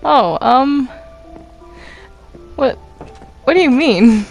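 A woman with a fairly high voice talks casually over game voice chat. She speaks very slowly and haltingly, hesitating as if unsure of things. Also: lively intonation, vocal fry, soft background music, low-quality recording. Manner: hesitant, halting, often confused. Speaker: gamer in a group roleplay.

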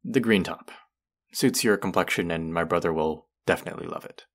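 Man acting a smug, deep, gravelly college guy. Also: a little fast, a bit bright. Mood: haughty, dismissive. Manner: condescending, sardonic, drawling. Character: smug, condescending male college student, crush's rude twin. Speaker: audio roleplay voice actor. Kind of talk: scripted roleplay addressed to the listener.

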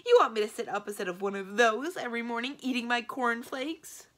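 A woman's voice: fairly high pitch, brisk, fairly bright tone, pitched above the performer's own voice, close to an everyday voice. A woman voicing a vain, hot-tempered aging male wizard, shrieking and indignant in overblown storybook style. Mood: outraged.

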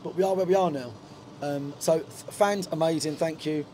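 A man's voice: fairly high pitch, moderate pace, dark tone, a little hiss or hum. A middle-aged man, a football manager, talking frankly in a post-match interview in a stadium dugout, with lots of fillers and restarts. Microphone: handheld reporter mic.

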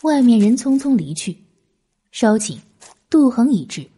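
A woman's voice: on the high side, big pitch swings, unhurried, warm tone, slightly rough. A woman reading a historical novel as dramatic scripted audiobook narration. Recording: music behind the voice. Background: music.

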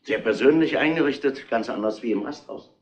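An actor playing a shrewd middle-aged police inspector in a retro crime drama, dry, probing and mock-polite, on a noisy old soundtrack. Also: male voice, medium pitch, a little fast, darkish tone, highs cut off, close to an everyday voice. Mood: mock-polite, needling.

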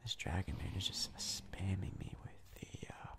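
Whispering man with a very creaky voice. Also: low-pitched, fairly even intonation. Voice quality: breathy, mostly whispered. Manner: hushed, casual, halting. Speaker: gaming video host. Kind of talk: soft-spoken ASMR gameplay commentary.

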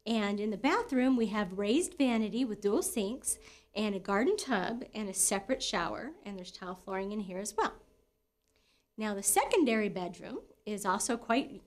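A middle-aged American woman presenting a property tour as a real estate broker, in conversational, semi-scripted sales talk. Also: mid-range voice.

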